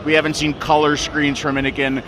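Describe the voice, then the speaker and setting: A man's voice: a little high, completely flat delivery, fairly quick. A man hosting a vlog, chatting casually and jokingly while walking through products. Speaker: vlog host. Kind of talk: casual chat and product walkthrough. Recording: loud background noise.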